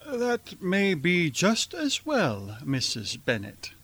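A weary, henpecked middle-aged father, played by a man in a radio play. He talks slowly in a fairly high voice with swooping intonation, dryly ironic and deadpan. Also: slightly rough, full character voice. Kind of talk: theatrical radio drama acting.

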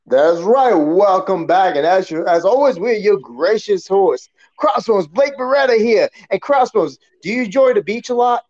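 A man with a somewhat high voice chats as co-host of a wrestling podcast. His delivery is casual and slangy, the way fans recap a show. The recording is a little dull and lo-fi. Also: expressive, normal speed, few pauses, a little mellow.

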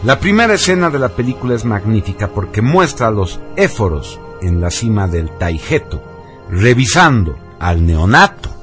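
A man's voice: lowish voice, sing-song, normal speed. A man presenting on radio, reading a scripted narration in a declamatory, emphatic manner. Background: loud background music.

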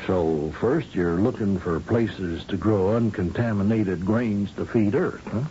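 A man's voice: fairly low pitch, unhurried, dark tone, close to an everyday voice. A man in an old radio drama playing a folksy, genial old governor of a space colony, wry and rambling with a cowboy streak. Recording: dull, noisy old radio recording.

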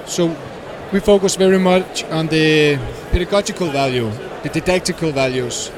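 A man describing his startup in a conference interview, hesitant with many pauses and non-native phrasing. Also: a little high. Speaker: guest, startup founder. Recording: noisy conference floor.

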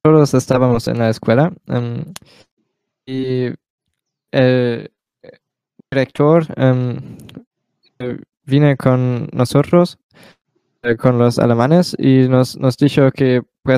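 A seventeen-year-old boy from Germany speaking Spanish as a learner, pausing often with fillers as an interview guest. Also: medium pitch, steady tone, warm tone, clean tone, no room sound. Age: teens. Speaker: exchange student guest. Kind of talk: casual interview.

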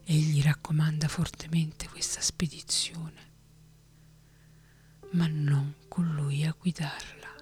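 A woman reading a fantasy story aloud in a steady whisper. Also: deep voice, slow pace, faint music behind. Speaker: narrator.

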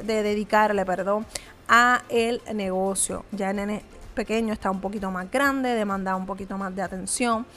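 A woman's voice: mid-range voice, a bit bright. A woman, a small business owner giving earnest, conversational motivational advice straight to the viewer, with frequent hesitant fillers. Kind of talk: motivational vlog talk to viewer.

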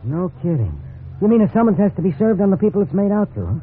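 Folksy middle-aged dad in a fifties radio play, reasoning things out, voice goes up and down a lot, thin noisy recording. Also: male voice, sits high, big pitch swings, fast, phone-quality sound. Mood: eager, deferential. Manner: conversational, reasoning aloud, folksy. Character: worried but easygoing middle-aged family man. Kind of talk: 1950s radio drama acting.

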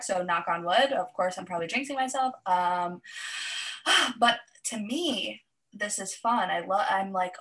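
A young woman with a bright, clear-toned voice, a little raspy today. She co-hosts a podcast and chats playfully and teasingly at an everyday pitch. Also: rough recording. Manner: playful, self-mocking, chatty. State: slightly raspy voice. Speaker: podcast co-host. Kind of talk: casual chat.

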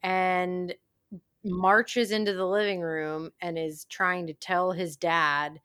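A woman with a slightly low voice recaps an old episode in casual chat. Her talk is wry and loose, full of little fillers. The recording is close and dry with no room sound. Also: little pitch movement, takes it slowly, a bit bright, clean tone, dry recording. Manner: wry, chatty, many "like" fillers. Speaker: podcast co-host.

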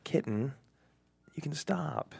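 A man's voice: a little high, expressive, takes it slowly, gravelly, a little mellow, husky, full character voice. A man playing an earnest, mildly exasperated boyfriend in a comedy table read. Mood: earnest, tender.

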